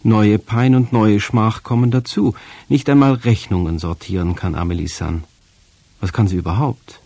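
Low, mellow male radio announcer, husky edge. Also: normal speed, no echo. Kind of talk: scripted literary programme introduction.

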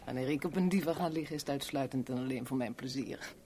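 A woman's voice: extremely low pitch, fast, dark tone, slight background noise. An actress playing a worldly, sardonic kept woman in a 1970s radio play, with a wry, teasing, blunt way of talking. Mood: wry, bored.

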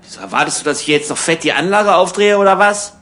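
A man's voice: high voice, lively intonation, fast, fairly bright tone. A man playing a restless, irritable emigrant in a hotel room, in conversational radio play dialogue. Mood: defensive. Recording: clear background ambience noise.

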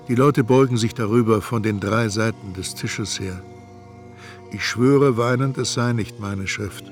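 Radio drama lead: male actor as brooding, self-questioning narrator, lowish voice, soft music underneath. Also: natural voice. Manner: introspective, talking to himself. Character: anxious, brooding first-person male storyteller. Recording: music behind the voice.

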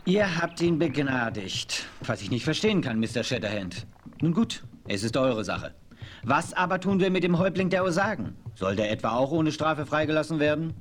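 An actor playing a polite police officer in a radio play, courteous but doubtful. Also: male voice, a little high, big pitch swings, moderate pace, slight background noise. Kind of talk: 1970s radio play acting.

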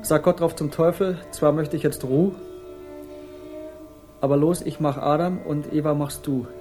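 Male actor as eccentric young poet, slightly high male voice, solemn declamation, radio play, music behind. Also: fairly even intonation, unhurried, natural voice. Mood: mock-solemn reciting. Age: young adult. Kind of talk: poetry recital within a radio drama.

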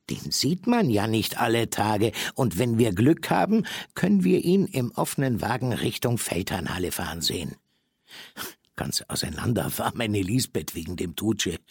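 An actor playing a genial, self-assured middle-aged Bavarian man, recalling events in homely detail in a radio play, with a husky, gravelly voice. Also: on the low side, no room sound, clean studio sound, exaggerated voice. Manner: chatty, detailed, homely storytelling. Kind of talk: German radio play, first-person recollection.

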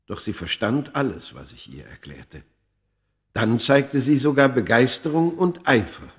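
Medium-pitched, literary storyteller in love. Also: male voice, sing-song, measured pace. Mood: reflective. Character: male Egyptian scholar recounting his lost love story. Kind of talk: radio play first-person narration.

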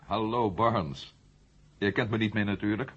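A man's voice: lowish voice, a little slow, a bit bright, slightly rough, faint music behind, heavily acted. An actor playing a confident, provocative former astronaut who argues his case point by point in a radio drama. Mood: wry. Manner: argumentative, rhetorical, building his case point by point. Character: outspoken ex-astronaut turned maverick writer, male, confident and provocative. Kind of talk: 1970 Dutch radio drama acting. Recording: muffled old radio drama tape.

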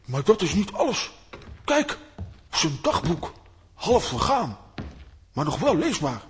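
A man playing an excitable, grumbling, bumbling comic hero in a children's audio play, theatrical and blustering. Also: sits high, slow pace, slight background noise, exaggerated voice. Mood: hesitant.

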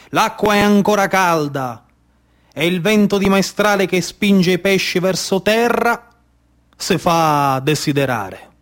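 A man with a Sicilian accent performing a dramatic narrative monologue as a stage storyteller. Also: sits high.

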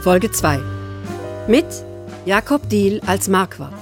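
A woman reading out the credits of a radio drama series in a formal, even manner. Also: mid-range voice, takes it slowly, music in the background. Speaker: credits announcer. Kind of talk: scripted announcement.

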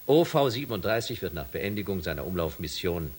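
A man acting as an authoritative shuttle commander in a German science fiction radio drama, speaking in formal, measured announcements. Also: deep voice, big pitch swings, a little mellow, husky, exaggerated voice. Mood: official, emphatic. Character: male shuttle commander, authoritative, reassuring, official.

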